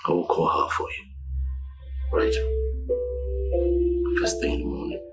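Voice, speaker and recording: An actor playing a devoted husband who softly soothes and pleads with his distraught wife. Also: unhurried, over loud music, natural voice. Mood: pleading tenderly. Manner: soothing, pleading, soft-spoken. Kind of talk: film drama acting.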